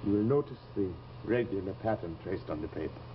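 Casting: formal middle-aged physician, mid-pitched but lively inflection, 1950s TV horror, hissy muffled soundtrack. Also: male voice. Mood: grave. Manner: formal, measured, polite. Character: grave, courteous middle-aged doctor. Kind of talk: 1950s live television drama acting. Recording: noisy, muffled old TV soundtrack.

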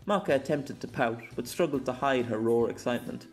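A man reading scripted fiction as an amateur audiobook narrator, expressive and dramatic. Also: mid-range voice, a little fast, faint music behind.

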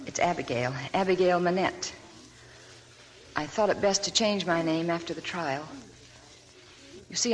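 An actress playing a sad young woman hiding her past, in a soft, subdued 1940s radio drama style. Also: lowish voice, lightly acted. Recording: old radio broadcast with faint music.

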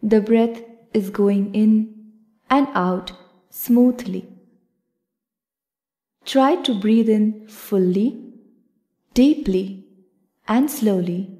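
Casting: female yoga nidra guide, calm and measured, unhurried delivery, soft-edged relaxed tone. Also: mid-range voice. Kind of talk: guided meditation narration.

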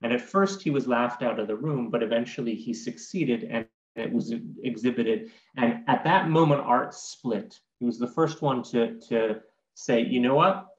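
A man's voice: medium pitch, normal speed. Articulate man in an echoey room. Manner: articulate, didactic. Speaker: guest art historian. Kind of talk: conversational explanation in an interview. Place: home room with bookshelves. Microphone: computer microphone on video call. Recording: dull, echoey video call audio.

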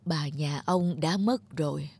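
A man playing a gentle, sad old grandfather in a cartoon, speaking mildly and hesitantly. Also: a little high, a little slow, creaky, breathy edge, exaggerated voice. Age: older.

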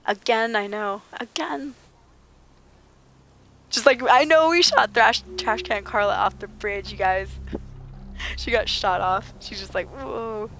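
A woman streaming a game, slightly raspy and under the weather, chatting playfully with viewers and joking in mock outrage. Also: mid-range voice, takes it slowly, pauses often, a bit bright, a little hiss or hum. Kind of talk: casual gaming chat with viewers.

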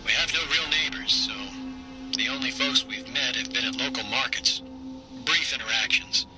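An actor playing a solitary, introspective husband who confides measured thoughts in a video game audio diary. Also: male voice, mid-range voice, loud music behind the voice, lightly acted, rough recording. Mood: pensive. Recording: audio diary with clear background noise.

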